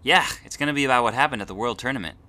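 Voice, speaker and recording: A man voicing an excitable, wary young regular guy in a cartoon, casual and exclamatory. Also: mid-range voice, racing, close to an everyday voice. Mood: excited. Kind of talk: animated web series dialogue.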